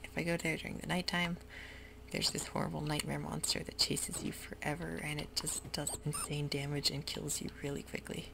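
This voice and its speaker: A woman whispering softly through a relaxed, rambling ASMR game commentary. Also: low-pitched, brisk, gravelly, noisy background. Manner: soft whisper, rambling and easygoing. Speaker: YouTuber playing a game. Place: home room with sprinklers outside.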